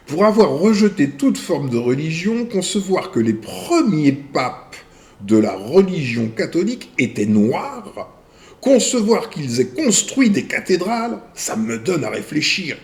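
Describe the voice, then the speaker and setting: A man hosting a video channel. He reads viewer messages aloud and answers them in a deliberate way, in short phrases with pauses. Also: fairly high pitch, sing-song, a little mellow.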